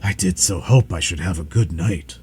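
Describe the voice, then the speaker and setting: A big, worn-out dragon , put on by a male storyteller, moaning in a low, sleepy grumble. The voice sits deep and soft, mellow and a little husky. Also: normal speed. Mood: weary. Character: weary whining male dragon, big and fussy.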